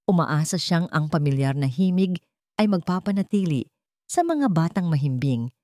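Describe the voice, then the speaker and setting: A woman with a Filipino accent reads a scripted dramatic story in a measured storytelling manner. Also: sits low, very animated, warm tone, no echo, studio quality. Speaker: story narrator. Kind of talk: scripted dramatic narration of a Tagalog love story.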